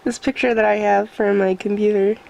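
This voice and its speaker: A woman's voice: medium pitch, flat delivery, brisk, gravelly, darkish tone, low-quality recording. A woman chatting casually to camera in her daily vlog, sick with a stuffy nose and her voice coming and going, self-mocking and laughing often. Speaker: vlogger. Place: at home indoors.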